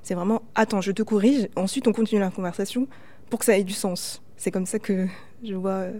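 A mellow young woman you might hear in a relaxed documentary interview, thinking through her own habits and quoting people as she goes. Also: mid-range voice, fairly quick. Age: 20s. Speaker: interviewee, linguistic mediator at a university library. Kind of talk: casual, reflective personal account.

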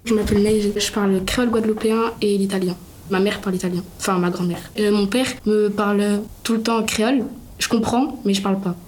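A woman's voice: medium pitch, fairly even intonation, fast talker, a little mellow, rough recording. A woman chatting informally about herself in a casual testimony. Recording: noticeable background noise.

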